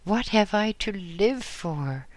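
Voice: mid-range voice, animated, takes it slowly, no echo, voice pushed up. A narrator doing a frightened old widow. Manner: trembling, beseeching. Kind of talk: audiobook character voice.

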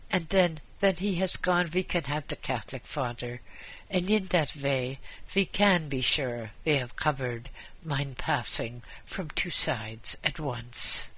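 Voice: mid-range voice, bright, forceful tone, slightly rough, pitched above the performer's own voice, full character voice, poor audio. A narrator voicing an ailing, wry old husband who pleads in broken English full of "oi". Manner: foreign-tinged broken English syntax, exclaims "oi".

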